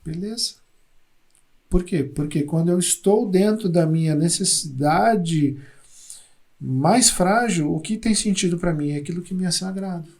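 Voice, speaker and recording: A man with a slightly raised pitch and a gravelly, creaky edge. He speaks as a hospital psychologist in a relaxed conversational interview, often repeating words as he gathers his thoughts. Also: a little slow. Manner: thoughtful, repeats words while searching for them. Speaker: hospital psychologist, podcast guest.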